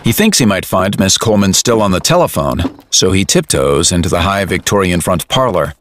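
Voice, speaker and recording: A man's voice: sits low, sing-song, fairly quick, fairly bright tone, a little hiss or hum. A man reading scripted dramatic narration for an audio drama. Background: music.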